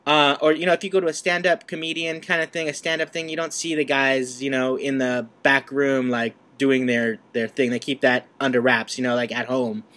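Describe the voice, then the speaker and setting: A man's voice: mid-range voice, level tone, fairly quick, hardly any pauses, fairly bright tone. A man hosting an interview, asking long rambling questions in a chatty way and laughing easily. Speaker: host. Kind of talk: casual interview chat.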